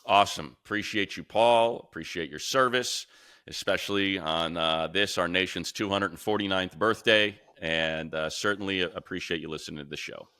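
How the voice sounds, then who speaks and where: A man's voice: low-pitched, normal speed, sharp tone, clear, resonant tone, no room sound, studio quality. A man co-hosting a sports podcast as an announcer, talking casually and informally with rambling asides. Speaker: sports radio announcer and co-host.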